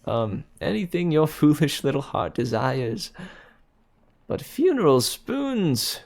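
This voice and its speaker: A man voicing a cheerful, indulgent young man who talks heartily and briskly. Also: mid-range voice, lively intonation, voice pushed up. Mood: hearty. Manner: hearty, good-humored, brisk.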